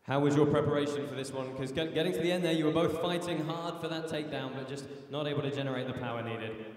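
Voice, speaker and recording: A man's voice: fairly high pitch, fast. A man interviewing competitors and calling live commentary, speaking like an announcer projecting to a crowd. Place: competition arena. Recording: echoey hall with crowd and noise.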